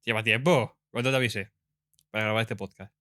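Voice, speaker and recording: Man, twenties, Spain Spanish accent, rapid delivery, bright tone, informal podcast host, playful teasing. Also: fairly low pitch, steady tone, no room sound, clean studio sound. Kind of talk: casual chat.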